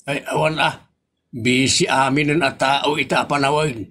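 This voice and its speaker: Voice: medium pitch, steady tone, normal speed, a bit bright, close to an everyday voice. An actor playing a grumbling, impatient older Filipino man with an Ilocano accent in a comedy audio drama.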